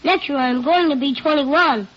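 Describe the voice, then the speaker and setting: A performer playing an eager, boastful six-year-old boy witness, earnest and excitable, in a 1950s radio drama. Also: high voice, normal speed, close to an everyday voice. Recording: dull vintage radio recording.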